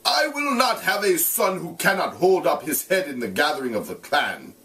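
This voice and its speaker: A man voices a harsh, scolding farmer father from a storybook. The pitch is raised and swings widely, with threats delivered sternly and a slightly distant sound from the mic. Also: sing-song, moderate pace, pushed and bright. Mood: angry, menacing. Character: harsh, threatening Igbo farmer father berating his sons.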